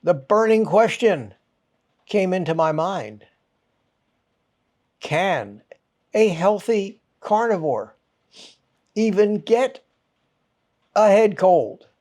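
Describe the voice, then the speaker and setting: A man with a mild head cold rants at his viewers. He speaks in a fairly high voice and takes his time, hammering each point home in short, exasperated bursts, with a curse word now and then for emphasis. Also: animated, a little mellow. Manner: emphatic, exasperated, punchy short phrases with pauses; swears for emphasis. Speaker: channel host. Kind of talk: ranting monologue to viewers.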